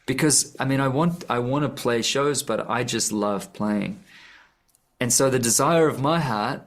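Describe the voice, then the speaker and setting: A man's voice: medium pitch, a little slow. Man, earnest, reflective, restarts often, interview guest. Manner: earnest, searching, frequent restarts and self-corrections. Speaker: musician and author, interview guest. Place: radio studio.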